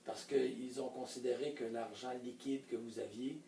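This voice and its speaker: A man's voice: mid-range voice, fairly even intonation, normal speed, mellow. A man conducting an informal interview, feeling his way through questions in a hesitant manner. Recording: echoey room, distant mic, faint background noise.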